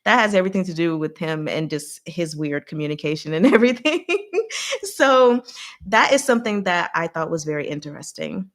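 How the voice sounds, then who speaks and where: A woman's voice: on the low side, expressive, fairly quick. Chatty woman giving a casual recap. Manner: chatty, conversational, with frank asides to listeners. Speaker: host of a book recap livestream. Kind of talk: casual book recap and discussion.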